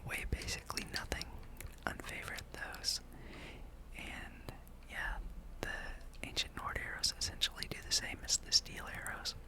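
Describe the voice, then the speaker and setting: A gaming host speaks entirely in a soft, breathy whisper. The delivery is unhurried and casual, musing through gameplay as an ASMR ramble, close to the microphone.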